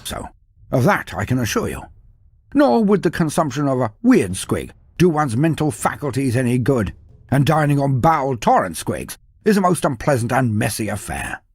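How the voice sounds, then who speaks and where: A man's voice: mid-range voice, big pitch swings, moderate pace, a little mellow, breathy edge, dry recording. A man delivering dramatic scripted fantasy narration in a grandiose, wry manner with ornate old-fashioned phrasing. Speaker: storyteller, narrator of fantasy war lore.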